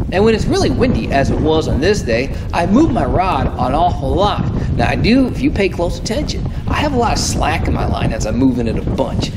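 A man's voice: fairly high pitch, very noisy. A man in his thirties hosting a fishing show, explaining his methods in a casual, explanatory way and correcting himself as he goes, filmed indoors in a tackle room close to the camera. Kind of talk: instructional fishing talk to camera. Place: indoor tackle room with lure-covered wall.

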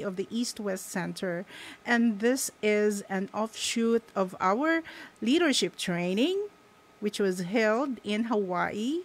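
A middle-aged woman with a Filipino accent, a station manager giving welcome remarks in a radio studio, halting with many fillers. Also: medium pitch.

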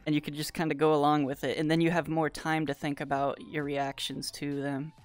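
A streamer chatting casually and reading viewer questions aloud, then answering offhandedly in a halting way. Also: medium pitch, flat delivery. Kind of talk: casual livestream chat, answering viewer comments. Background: background music.

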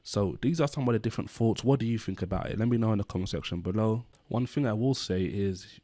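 A man in his thirties speaks to camera in a low register, explaining scripture in an earnest, steady way. He leans on "basically" again and again. The sound is close and dry, with almost no room in it. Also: fast talker, no room sound. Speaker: channel host. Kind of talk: Bible-based commentary on a news story. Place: indoor room, talking to camera.

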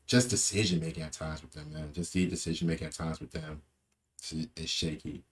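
A young man with a low, deep voice talks through a football match in a loose, rambling way. He repeats himself and drops in plenty of fillers, speaking from a small room into a desk webcam. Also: little pitch movement, a little fast, slight background noise. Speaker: football fan vlogger. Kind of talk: casual post-match reaction.

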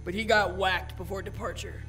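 A man voicing a matter-of-fact officer briefing agents in a comic dub, blunt, businesslike and creaky. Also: sits high, steady tone, normal speed, sharp tone, faint music behind, poor audio.

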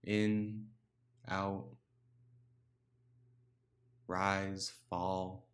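Male meditation guide, lower register, calm instructional delivery, takes time between short phrases. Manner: calm, unhurried instruction with pauses. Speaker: meditation teacher.

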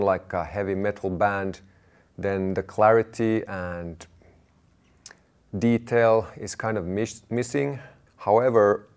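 A man in his thirties with a low-pitched voice walks viewers through a do-it-yourself audio project. He presents to camera indoors, explaining each part plainly and factually. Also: a little mellow. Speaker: DIY audio channel presenter. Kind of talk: product walkthrough to camera.

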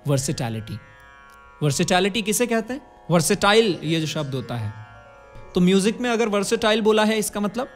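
A man's voice: sits high, big pitch swings, normal speed. A man in his twenties with an Indian accent, teaching vocal music in an explanatory way, demonstrating sounds and checking in with tag questions. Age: 20s. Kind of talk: instructional talk on singing and diction. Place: indoor curtained studio. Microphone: studio condenser microphone close in front.

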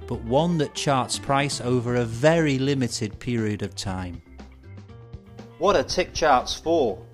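A middle-aged man presenting scripted instructional narration in a measured, explanatory way, posing rhetorical questions. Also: medium pitch. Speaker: presenter of an educational film. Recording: music bed behind studio voice. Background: instrumental music.

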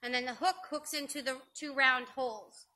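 Bright high-voiced woman explaining outdoors. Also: steady tone, moderate pace. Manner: chatty and homely, explaining step by step with frequent ums. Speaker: host demonstrating open-fire cooking. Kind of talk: casual outdoor cooking demonstration. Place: outdoors in a grassy yard. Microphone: camera on a stand a few meters away.

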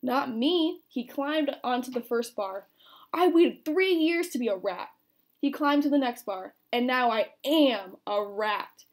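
A woman voicing a cocky fourth-grade boy in a read-aloud story, with loud, drawn-out boasts. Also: sits high. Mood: proud.

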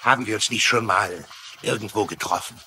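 An actor playing a vain, pompous novelist who flatters women in grand phrases, in a German-dubbed mystery drama. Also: male voice, lowish voice, a little fast. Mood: self-satisfied, charming. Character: pompous, vain male novelist, smooth-talking womanizer.

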